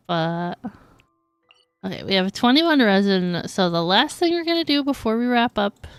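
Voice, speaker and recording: A woman's voice: mid-range voice, sing-song, measured pace, smooth, no room sound. A woman livestreaming a game, chatting casually and in a giggly way. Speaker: game streamer. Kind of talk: casual livestream chat over gameplay. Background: game music.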